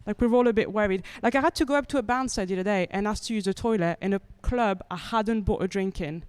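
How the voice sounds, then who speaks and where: A woman's voice: mid-range voice, fast talker, clear, resonant tone, no room sound. Wry young woman from France doing stand-up. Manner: wry, chatty, asks the audience questions. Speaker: stand-up comedian. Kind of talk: stand-up comedy set. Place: comedy club stage.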